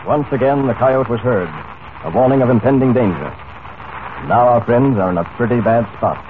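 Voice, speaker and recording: A man's voice: medium pitch, normal speed. Male serial narrator: emphatic, cliffhanger delivery, old-time radio sound, tinny with faint hiss. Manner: suspenseful, emphatic. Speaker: announcer.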